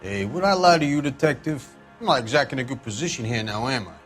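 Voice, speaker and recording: Man as streetwise game crook: medium pitch, defiant wise guy, slippery, sardonic, faint underscore. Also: lively intonation, fast, soft background music, natural voice. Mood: wheedling. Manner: wheedling, sarcastic, streetwise. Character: shifty male crook mechanic, defiant, evasive wise guy. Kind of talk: cinematic video game acting.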